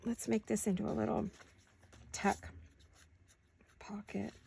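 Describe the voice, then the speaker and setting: A woman with a sore throat chatting casually to viewers while she crafts at home, thinking out loud as she works. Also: medium pitch, level tone, slow pace, creaky, breathy edge. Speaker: craft vlogger. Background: scissors and crafting clicks.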